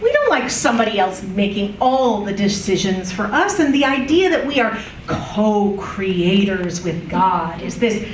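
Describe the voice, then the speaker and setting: A woman's voice: medium pitch, big pitch swings, measured pace, hardly any pauses, slight background noise. A woman giving an unprepared church talk, candid and self-mocking, laughing often. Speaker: guest speaker and church musician. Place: church sanctuary. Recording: echoey church room from far off.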